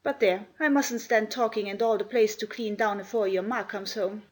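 A woman's voice: mid-range voice, fairly even intonation, moderate pace, darkish tone, slightly rough, natural voice. A woman voicing a gossipy housemaid who tells news darkly, in broad country speech. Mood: dark gossip. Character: gossipy, dramatic female housemaid.

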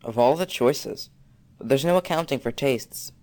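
A woman's voice: a bass voice, moderate pace, dark tone, pitched below the performer's own voice. A woman voicing a frank, bossy schoolboy in a storybook, in a matter-of-fact, clipped manner. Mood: brisk, frank.

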